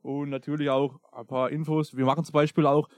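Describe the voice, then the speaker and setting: A man's voice: fairly high pitch, little pitch movement, brisk, a little mellow, no room sound. Franconian man with a dry close sound. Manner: dialect-heavy, laughing, jokey. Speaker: sheep keeper, podcast guest. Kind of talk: casual chat. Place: sheep barn.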